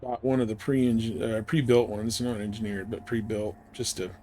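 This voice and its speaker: A man's voice: on the low side, steady tone, moderate pace, dark tone. A man streaming a game, giving casual, laid-back commentary in muttered asides. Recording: faint background noise.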